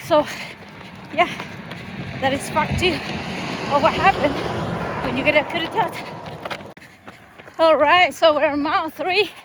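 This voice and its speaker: A woman talks in relaxed, chatty bursts while she jogs beside a highway. Her voice sits high. Clear traffic noise runs behind her outdoors. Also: unhurried, long pauses. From Chile. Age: 50s. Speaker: vlogger host. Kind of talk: casual chat while running.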